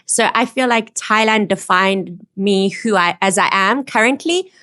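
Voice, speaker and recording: Woman in her thirties with a South African accent. She speaks in a lively, open way in a casual interview. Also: mid-range voice, unhurried. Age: 30s. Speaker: interviewee, boutique owner.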